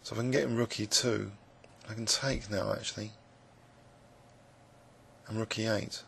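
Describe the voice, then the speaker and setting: A man with a croaky, gravelly voice, musing hesitantly with many fillers as he commentates his own blitz chess game. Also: fairly low pitch, fairly even intonation, normal speed, fairly bright tone, a little hiss or hum. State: croaky voice, clearing throat. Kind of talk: live game commentary, thinking aloud.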